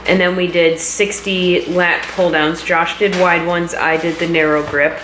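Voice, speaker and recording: American woman doing a chatty fitness vlog, lowish voice, echoey kitchen, bit of noise. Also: little pitch movement, unhurried, fairly bright tone. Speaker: fitness vlogger. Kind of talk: casual vlog chat and explaining. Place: home kitchen, indoors. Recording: roomy kitchen with some background noise.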